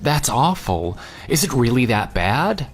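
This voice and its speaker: A jilted, forgiving groom voiced by a man in an audio drama. His mid-range voice moves up and down in a lively way as he asks earnest questions, over background music. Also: mid-range voice, unhurried. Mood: concerned, understanding. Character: jilted, forgiving ex-fiance, concerned but questioning. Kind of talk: radio drama dialogue.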